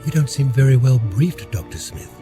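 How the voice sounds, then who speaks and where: An actor playing a brusque, evasive space station security chief in an audio drama, businesslike and clipped. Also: male voice, mid-range voice, very animated, moderate pace, warm tone, soft background music, natural voice. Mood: brusque, suspicious.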